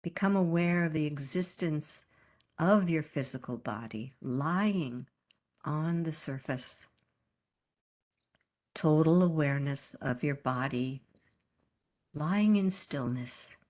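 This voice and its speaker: A woman leading a guided meditation as a yoga teacher, speaking calmly and gently in unhurried phrases with pauses. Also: deep voice.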